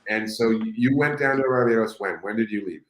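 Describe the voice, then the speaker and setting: A man's voice: fairly low pitch, brisk, reverberant, noisy background. A man hosting a live interview, enthusiastic and rambling, often restarting his sentences. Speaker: host.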